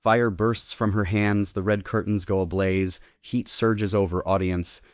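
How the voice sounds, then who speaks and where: A man's voice: lowish voice, steady tone, measured pace, dry recording, studio quality. A man giving scripted narration, reading out the stage directions of a play.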